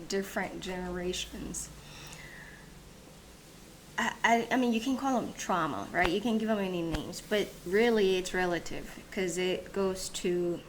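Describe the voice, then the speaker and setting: A woman's voice: fairly low pitch, a little hiss or hum, rough recording. A woman born in Haiti and raised in the United States, hosting a reflective solo talk, thinking aloud with many restarts and fillers. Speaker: host.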